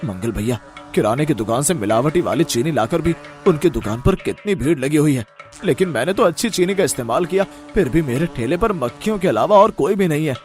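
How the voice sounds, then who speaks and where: Poor honest sweet seller in a moral cartoon, male actor: earnest, downcast, pleading, expressive pitch. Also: fairly quick, faint music behind, natural voice. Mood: despairing. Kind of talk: Hindi moral-story cartoon dialogue.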